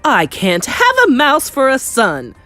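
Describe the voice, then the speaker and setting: Pompous middle-aged English dad, played by a woman narrator, indignant and spluttering, loud swooping delivery for a storybook. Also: sits high, wildly swooping pitch, unhurried, soft background music, voice pushed up, heavily acted. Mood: shrieking dismay. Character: blustering outraged English father, pompous middle-aged man.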